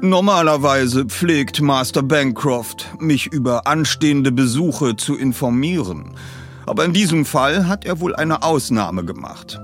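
A man's voice: mid-range voice, lively intonation, measured pace, faint music behind, pitched above the performer's own voice, lightly acted. A man voicing a condescending, formal butler in his late forties for an audiobook. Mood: haughty.

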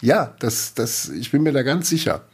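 A man co-hosting a podcast, relaxed and chatty, telling a folk horror story with lots of fillers. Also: mid-range voice, moderate pace, husky. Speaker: podcast co-host. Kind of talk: casual chat.

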